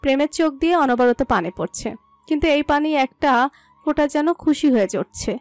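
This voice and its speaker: High-pitched woman narrating a story. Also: expressive, moderate pace, dry recording, soft background music. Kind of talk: scripted dramatic story narration in Bengali.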